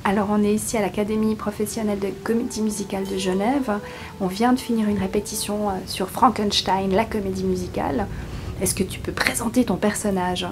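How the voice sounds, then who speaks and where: A woman's voice: mid-range voice, steady tone, a little fast, music in the background. A woman asking informal questions as an interviewer. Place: musical theatre school after rehearsal.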